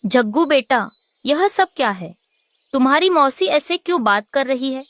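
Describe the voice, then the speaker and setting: A woman's voice: high-pitched, animated, unhurried, a bit bright, dry recording. A woman voicing a caring, protective mother bird in a children's cartoon. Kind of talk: animated children's story acting.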